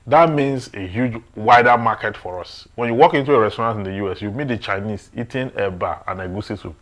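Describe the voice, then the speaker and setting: A man's voice: on the low side, fairly quick, fairly bright tone. A man from Nigeria with a Nigerian accent, discussing current affairs emphatically and repeating phrases for stress. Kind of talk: opinionated discussion.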